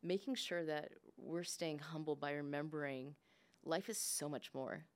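A woman's voice: sits low, unhurried, clear, resonant tone. A woman who grew up speaking English and Spanish, talking casually and reflectively as a guest, often tagging thoughts with "like" and "right?". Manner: frequent "like" and "right?" tags. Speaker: podcast guest, business leader.

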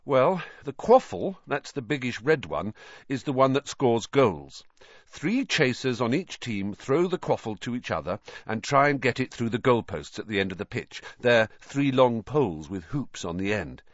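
A man's voice: mid-range voice, normal speed, dry recording, muffled recording, pitched above the performer's own voice. A man voicing a weary, reluctant schoolboy in an audiobook, sounding resigned and exasperated. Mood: resigned, patient. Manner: flat, resigned, patiently explaining.

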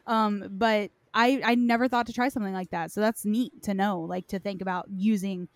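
A woman's voice: mid-range voice, steady tone, moderate pace, dry recording, clean studio sound. A woman hosting a casual gaming chat, talking informally and anecdotally with lots of "like". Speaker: podcast host, gamer.

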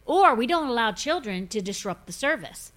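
A woman's voice: on the high side, big pitch swings, moderate pace, a bit bright. Forthright woman in her fifties. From grew up in Pentecostal churches. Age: 50s. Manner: forthright, preachy, emphatic. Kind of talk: casual podcast chat on faith.

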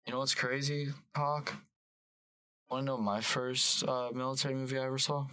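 A man's voice: medium pitch, level tone, normal speed, pushed and bright, clear, resonant tone, no echo. A man in his twenties hosting a podcast, joking loosely with guests and his stream chat. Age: 20s.

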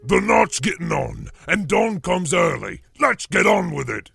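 A blustering troll for a storybook, husky and full of gravel, music underneath. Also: male voice, high-pitched, big pitch swings, measured pace, a bit bright, dry recording, pitched above the performer's own voice, full character voice. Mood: impatient. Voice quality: rough, gravelly. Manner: blustering, coarse rustic speech. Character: belligerent loud brutish male troll. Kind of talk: audiobook character voice.

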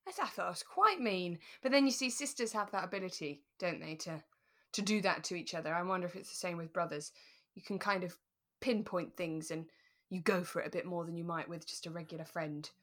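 A young British woman co-hosting a podcast in relaxed, reflective, conversational chat. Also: lowish voice, a little fast. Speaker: podcast co-host. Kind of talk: relaxed chat reviewing a radio drama.

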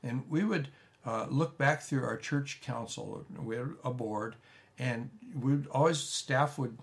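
A man, a pastor giving a relaxed, rambling teaching talk with frequent restarts and folksy asides. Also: mid-range voice, slow, vocal fry. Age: 70s. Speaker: pastor teaching a church leadership course.

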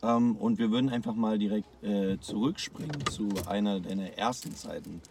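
A male podcast host, a bit hoarse, talking upbeat and slangy while interviewing a guest. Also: fairly low pitch, moderate pace, far from the mic, background noise. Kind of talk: casual interview chat.

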